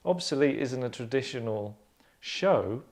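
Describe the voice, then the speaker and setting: Man, 30s, mid-pitched, casual and dry, rambling host in a relaxed group discussion. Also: unhurried. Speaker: writer and host. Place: furnished indoor lounge.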